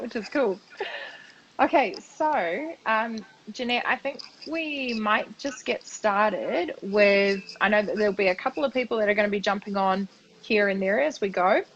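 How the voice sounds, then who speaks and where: A woman hosting a live interview, chatty and upbeat with a slightly croaky voice and many fillers. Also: medium pitch, a bit bright, lo-fi sound, slight background noise. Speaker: host, business mentor for health coaches. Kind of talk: live-stream interview chat.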